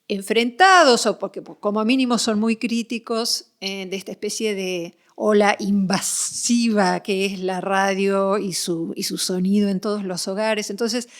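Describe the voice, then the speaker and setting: A woman with an Argentine accent hosting a conversational podcast interview, feeling her way through her questions. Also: mid-range voice.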